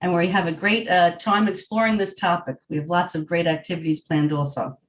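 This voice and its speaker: A woman's voice: lowish voice, fairly even intonation, slight background noise. Friendly New woman co-host. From New York, USA. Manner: friendly, conversational, slightly halting. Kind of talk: hosting an online discussion. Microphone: video call.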